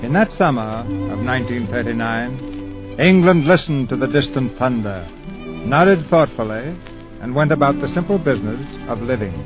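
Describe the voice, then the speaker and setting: A man's voice: medium pitch, expressive, normal speed. A male announcer giving formal, dramatic scripted narration in the manner of 1940s radio. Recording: muffled 1940s radio broadcast over music.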